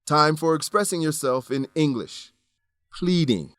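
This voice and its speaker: A man presenting an English lesson in deliberate, scripted educational narration. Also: a little high, a bit bright, no room sound. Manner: deliberate, instructional.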